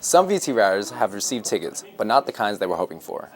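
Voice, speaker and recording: A man's voice: lowish voice, very animated, quick pace. A man narrating scripted news stories as a reporter.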